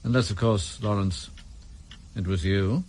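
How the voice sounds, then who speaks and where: An actor with a British accent playing a stern, suspicious senior spymaster in a radio drama, measured and pointed. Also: male voice, on the low side, steady tone, a little mellow. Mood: accusing.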